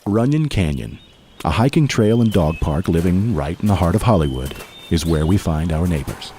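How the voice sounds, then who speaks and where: A man's voice: low-pitched, expressive, moderate pace, mellow, a little hiss or hum. A man reading scripted narration for a post-apocalyptic radio drama. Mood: narrating. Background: music.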